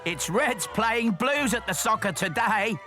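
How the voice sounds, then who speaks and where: A man voicing a proud, excitable male steam engine in a children's story, boastful and singsong. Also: high-pitched, measured pace, over music, voice pushed up. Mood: excited, proud.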